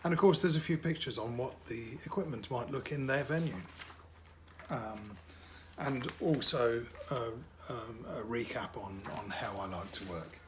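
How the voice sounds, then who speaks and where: A man's voice: mid-range voice, unhurried, a little hiss or hum. A middle-aged man, a wedding DJ and MC whose first language is French, talking casually about his work with lots of fillers and repeated words.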